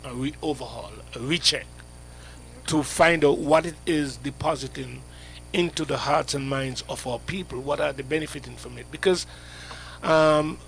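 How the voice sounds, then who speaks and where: A man hosting a live radio interview, speaking in a measured, reflective way. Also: mid-range voice, creaky, fairly bright tone, a little hiss or hum, low-quality recording. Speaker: radio host.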